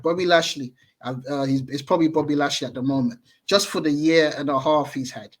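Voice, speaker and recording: Thoughtful thirties man, slightly high voice. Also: a little fast. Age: 30s. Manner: thoughtful, hedging, self-correcting. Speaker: podcast guest. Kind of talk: casual podcast chat over video call. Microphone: video call.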